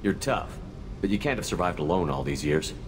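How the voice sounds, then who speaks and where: An actor playing a steady, probing human military commander in a video game, direct and even-tempered. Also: male voice, low voice, brisk, big-room sound, noisy background. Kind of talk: video game character acting.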